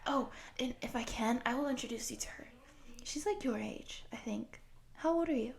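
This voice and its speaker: A woman voicing a friendly, excitable eighteen-year-old wolf girl who rambles from one thing to the next in an audio roleplay for the listener. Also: on the high side, normal speed, gravelly. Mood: eager, friendly. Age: young adult.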